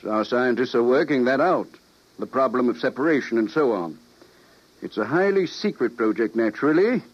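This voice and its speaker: An actor playing a huge, sardonic government scientist and official, cool, condescending and menacing, in an old-time radio drama. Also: male voice, mid-range voice, lively intonation, moderate pace, breathy edge. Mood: smug. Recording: dull old radio recording.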